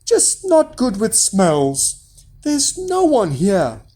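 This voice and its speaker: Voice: mid-range voice, wildly swooping pitch, takes it slowly, warm tone, voice pushed up, full character voice. A storyteller voicing a giant's wife, placating and wary, in a children's fairy tale.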